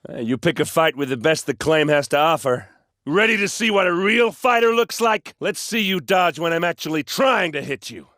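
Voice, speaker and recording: An actor playing a cocky, blunt, hard-drinking warrior captain in a video game, full of boastful swagger. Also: male voice, on the high side, animated, normal speed, fairly bright tone, dry recording, clean studio sound. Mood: battle bravado.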